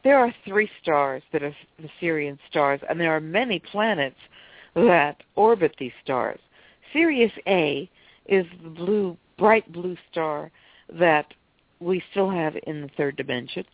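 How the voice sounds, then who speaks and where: Gravelly, stuffy-voiced woman on a phone line. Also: lowish voice, a little slow, no room sound. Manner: earnest, steady explaining. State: stuffy from a cold. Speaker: author and psychic guest. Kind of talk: phone interview, explanatory talk.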